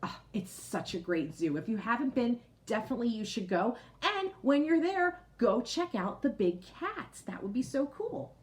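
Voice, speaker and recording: A woman reading a picture book aloud to children like a teacher, expressive, pausing to explain and put questions directly to young listeners. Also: a little high. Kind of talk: storybook read-aloud with explanatory asides.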